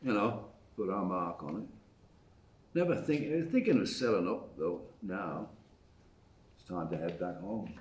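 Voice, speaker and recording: An actor reading an older widowed farmer at a live table read, calm and protective, speaking slowly and steadily, heard from far off in an echoey theatre. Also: male voice, deep voice. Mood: gentle. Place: theatre stage.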